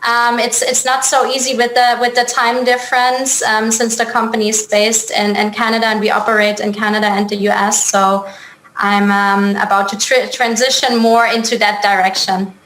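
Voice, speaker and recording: German accent lady in an interview, highish even voice, roomy echo, bit halting. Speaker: company chief executive, interview guest. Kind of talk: casual interview conversation. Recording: echoey room, faint background noise.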